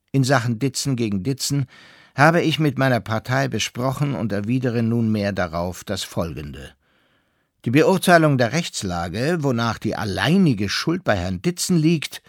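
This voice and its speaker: Formal divorce lawyer in a radio drama, officious, mid-pitched, dry close sound. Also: male voice, very animated, unhurried, dry recording. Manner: officious, matter-of-fact. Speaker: radio play actor. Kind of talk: legal letter read in radio drama.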